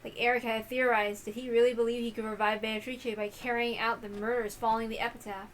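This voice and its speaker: A woman's voice: a little high, flat delivery, fast talker, a little hiss or hum, poor audio. A woman reading a visual novel aloud as dramatic scripted narration.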